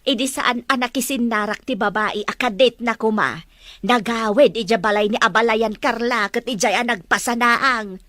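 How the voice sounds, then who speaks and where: A woman's voice: on the high side, normal speed, fairly bright tone, no echo, studio quality, lightly acted. A woman acting a scolding, disapproving mother in a drama. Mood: indignant, gossipy. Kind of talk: Ilocano-language drama dialogue.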